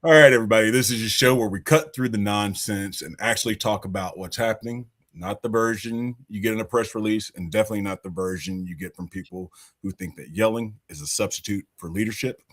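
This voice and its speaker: A man from Alabama hosts a podcast in a deep, low voice. He speaks emphatically in a loose, conversational way and sometimes restarts his sentences. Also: fast talker, hardly any pauses, a bit bright. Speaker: podcast host. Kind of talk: podcast hosting and interviewing.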